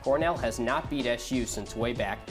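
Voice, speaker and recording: A man's voice: mid-range voice, moderate pace, music in the background. A man hosting a sports broadcast and commentating on games as a play-by-play announcer.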